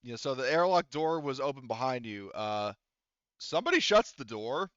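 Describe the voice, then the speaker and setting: Guy game mastering a tabletop rpg, clear voice, talks through the rules, dry kinda lo-fi mic. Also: mid-range voice, big pitch swings, moderate pace, fairly bright tone, no room sound. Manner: thinks aloud while handling rules. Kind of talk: running a tabletop role-playing game, casual.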